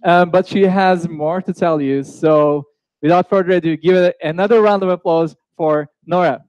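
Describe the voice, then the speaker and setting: A young man hosts an online coding class over a video call. His voice is slightly raised in pitch, and the sound is close and dry. He talks in a chatty way, restarting his sentences. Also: fairly even intonation, normal speed, darkish tone, smooth, dry recording, rough recording. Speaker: course host. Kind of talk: live online lecture.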